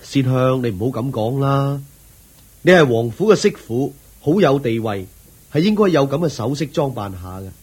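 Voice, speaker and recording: A husky young nobleman husband. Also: mid-range voice, expressive, normal speed, a little mellow. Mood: affectionate. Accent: Hong Kong Cantonese. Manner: affectionate, attentive. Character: young devoted nobleman husband, affectionate son. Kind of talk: radio drama acting.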